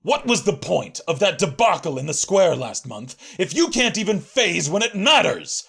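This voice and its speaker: A man playing a stern, stubborn warrior mentor in an audio drama, gruff and clipped in manner. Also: high-pitched, sing-song, moderate pace, a bit bright, exaggerated voice. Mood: angry scolding. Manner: gruff, clipped commands, scolding.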